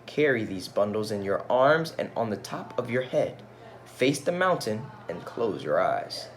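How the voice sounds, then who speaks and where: A man voicing a solemn old wise man from a storybook, giving deliberate, emphatic instructions. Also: fairly low pitch, sing-song, a little hiss or hum. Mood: solemn, instructing.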